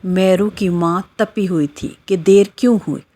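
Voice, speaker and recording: A woman's voice: mid-range voice, measured pace, mellow. A woman narrating a scripted children's moral story as the storyteller.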